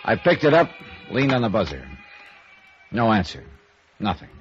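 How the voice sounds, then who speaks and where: An actor playing a hard-boiled, dry, probing American insurance investigator in 1950s radio noir, clipped and wry. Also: male voice, lowish voice, huge pitch swings, moderate pace. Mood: dry, matter-of-fact. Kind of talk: 1950s radio noir acting with first-person narration. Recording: dull vintage radio recording with music.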